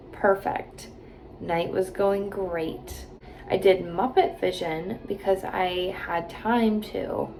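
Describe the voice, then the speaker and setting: A woman's voice: mid-range voice, creaky, darkish tone, slight background noise, rough recording. A woman in her late twenties chatting casually to camera from a hotel room, rambling with lots of "like" and "um". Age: 20s. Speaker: vlogger.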